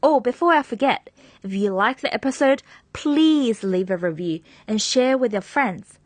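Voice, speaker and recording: A woman's voice: on the high side, expressive, normal speed, dry recording. A woman hosting a podcast, reading an upbeat introduction and asking her guest questions. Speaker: podcast host.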